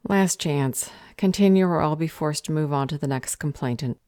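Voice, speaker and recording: Woman playing a low-voiced, stern magical judge. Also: moderate pace, dark tone, dry recording, clean studio sound, natural voice. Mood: curt warning. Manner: formal, officious, commanding. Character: stern, curt female magical council judge. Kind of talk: audio roleplay fantasy drama.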